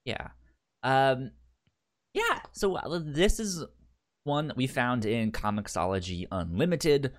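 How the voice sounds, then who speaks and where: Rambling man with lively pitch. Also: slow. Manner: rambling, many stammered restarts. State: has the hiccups. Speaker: podcast co-host. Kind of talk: casual chat.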